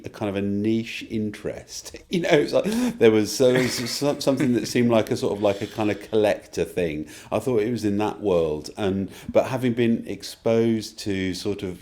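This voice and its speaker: Deep, dark-voiced man, halting. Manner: halting, thoughtful, searching for words. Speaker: writer interviewed about an audio drama. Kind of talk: casual interview talk.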